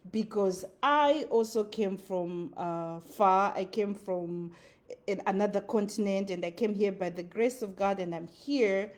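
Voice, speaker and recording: Slow, mellow Zimbabwean woman. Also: medium pitch. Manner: earnest, repeats phrases for emphasis. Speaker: motivational speaker. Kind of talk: motivational monologue.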